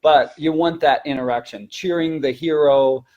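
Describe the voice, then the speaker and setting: A man teaching in a conversational webinar style, explaining and demonstrating in an animated way as a presenter and teacher trainer. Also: fairly high pitch, moderate pace, highs cut off.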